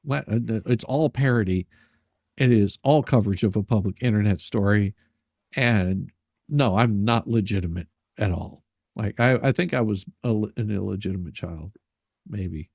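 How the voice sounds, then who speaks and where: A man's voice: on the low side, normal speed, creaky, a little mellow, dry recording, clean studio sound. A man hosting a live stream in casual chat, reading messages aloud and commenting sarcastically, often repeating words as he goes.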